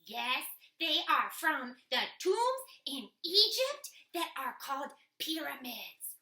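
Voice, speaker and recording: Woman, teacher reading to children, high bright voice, expressive, encouraging, roomy echo. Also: slow pace. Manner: expressive, encouraging, asks the listener questions. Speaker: elementary school teacher. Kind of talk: children's picture-book read-aloud. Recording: echoey room.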